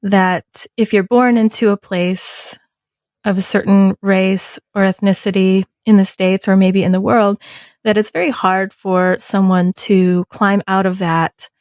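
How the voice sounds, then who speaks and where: A woman in her thirties hosting an interview podcast, speaking in a measured, reflective way. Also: mid-range voice, flat delivery, darkish tone, no echo, studio quality. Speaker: podcast host. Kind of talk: conversational interview.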